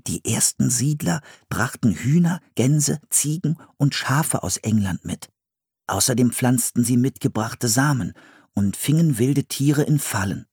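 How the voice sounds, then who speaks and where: A man's voice: mid-range voice, expressive, unhurried, a little mellow, husky, no echo, clean studio sound, pitched above the performer's own voice. A man voicing a cautious, bookish boy with glasses in an audiobook, earnest and careful. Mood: reading aloud. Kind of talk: audiobook character voice.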